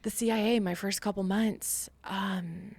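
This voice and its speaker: A woman's voice: mid-range voice, steady tone, measured pace, creaky, a little mellow. An American woman giving a candid, emphatic personal account as an interview guest, acting out remembered remarks. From Long Island, New York, USA. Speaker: podcast guest, military veteran.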